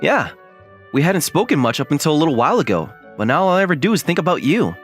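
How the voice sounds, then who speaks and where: A man's voice: a little high, very animated, quick pace, faint music behind. A man voicing an earnest, considerate, easily flustered young man who also narrates a manga dub. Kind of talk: acted dialogue and first-person narration.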